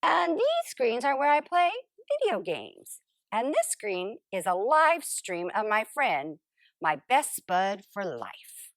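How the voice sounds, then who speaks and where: A woman voicing a smug, lazy couch potato in an expressive, theatrical storybook read-aloud. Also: a little high, dry recording, clean studio sound, full character voice. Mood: self-satisfied. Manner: theatrical, exclamatory, drawn-out emphasis. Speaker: storybook narrator character. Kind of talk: expressive first-person picture book read-aloud.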